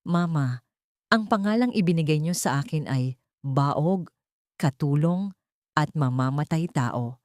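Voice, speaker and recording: A wronged wife out for revenge as a woman narrator plays her in an audio story. She is cold and commanding, with calm, quiet authority, recorded very dry. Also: sits low, a little slow, long pauses, dry recording. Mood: bitter.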